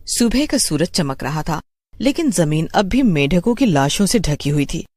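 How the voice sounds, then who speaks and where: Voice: medium pitch, big pitch swings, normal speed, a little mellow, dry recording, studio quality. A narrator reading a scripted horror story.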